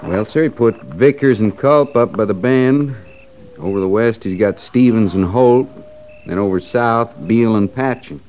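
An actor playing an earnest, respectful but persistent cavalry subordinate officer in a 1950s radio western, speaking in a measured way. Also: male voice, lowish voice, darkish tone, slight background noise, lightly acted. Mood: dutiful. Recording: dull, muffled old radio recording.

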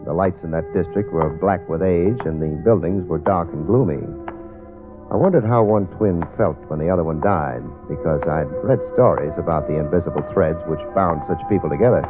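An actor playing a hard-boiled, world-weary private detective in a vintage radio drama, sardonic and clipped. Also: male voice, low-pitched, moderate pace, close to an everyday voice. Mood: brooding, narrating. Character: wry hard-boiled male private detective, narrating his case. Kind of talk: 1940s-50s radio detective drama acting and narration. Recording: tinny old radio recording, music underneath.

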